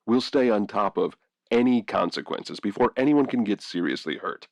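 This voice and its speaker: An actor playing a guarded male CEO giving measured, reassuring corporate spin in a TV interview. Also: on the low side, animated, dry recording. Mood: assured.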